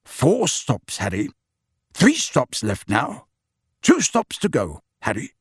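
Man voicing a kindly, measured middle-aged father. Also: mid-range voice, big pitch swings, breathy edge, no echo, studio quality, full character voice. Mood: anxious, preoccupied. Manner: gentle, measured, bracing.

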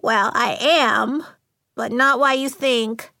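A woman voicing a hurt but brave schoolgirl in an audiobook, measured and vulnerable as she recounts a memory. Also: fairly high pitch, gravelly, pushed and bright, dry recording, exaggerated voice. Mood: tearful, grateful.

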